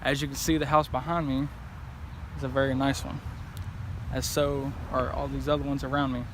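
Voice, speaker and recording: A man's voice: mid-range voice, little pitch movement, normal speed. A young man giving a scripted on-the-scene news report outdoors as a field reporter. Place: outdoors by a road and houses. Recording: noisy outdoor location audio.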